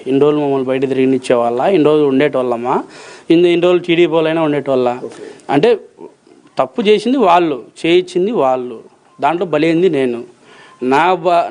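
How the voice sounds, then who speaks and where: Man, Rayalaseema Telugu accent, higher-than-average voice, rapid colloquial interview answers, forceful repetition and rhetorical questioning. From Rayalaseema, Andhra Pradesh, India. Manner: emphatic, insistent, repeats phrases and asks rhetorical questions. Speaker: interview guest, approver witness in a criminal case.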